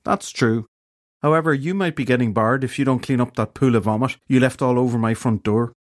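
A man's voice: mid-range voice, brisk, no echo, voice pushed up, natural voice. A fairy landlady with a Northern Irish lilt, acted out by a male audiobook narrator. She is wry and matter-of-fact, scolding with little sympathy, in a closely recorded, dry sound. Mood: teasing, stern. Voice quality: smooth, lilting. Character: wry, unsympathetic female fairy bar owner.

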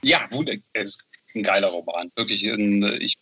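A man's voice: fairly low pitch, moderate pace, pushed and bright, breathy edge, highs cut off. A man from Bonn in western Germany chatting enthusiastically as an interview guest, often adding tag questions to seek agreement. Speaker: interview guest, novel author. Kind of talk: casual reminiscing interview.

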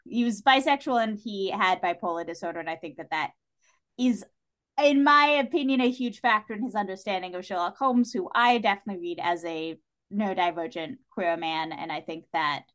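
A woman speaking as a podcast guest in casual, animated chat, giving her views informally and with emphasis. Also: medium pitch, brisk. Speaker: podcast guest discussing a TV series.

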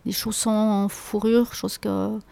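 A woman author being interviewed, answering in a hesitant way with many pauses and restarts. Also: mid-range voice, level tone, vocal fry, mellow, no room sound. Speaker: author guest.

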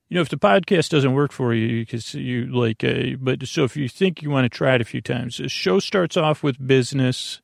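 A man with a creaky voice hosting a bedtime podcast, rambling haltingly through tangents in a soothing, lulling way. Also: mid-range voice, no echo, clean studio sound. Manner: meandering, halting, full of tangents. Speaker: podcast host.

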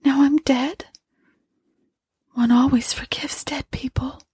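A woman voicing a dead wife's ghost in a gothic story, weary, tender and pleading, with a faint, hollow, breathy voice. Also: high voice, steady tone, slow, darkish tone, no echo, clean studio sound, pitched above the performer's own voice.

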